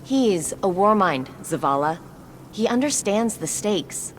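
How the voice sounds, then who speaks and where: A determined young tech expert speaks earnestly and directly. Her voice sits at an average pitch, with a faint noise behind it. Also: lively intonation, slow.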